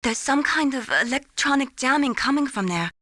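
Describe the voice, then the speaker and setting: A woman voicing an earnest, caring young mission support analyst in a video game, encouraging and gently solicitous. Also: high-pitched, lively intonation, moderate pace, no room sound, studio quality, exaggerated voice. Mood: explanatory.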